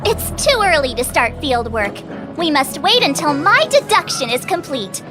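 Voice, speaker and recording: A woman voicing an excitable, theatrical young girl detective in a video game, playful and dramatic. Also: high voice, normal speed, over music. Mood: playfully self-important. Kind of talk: animated video game voice acting.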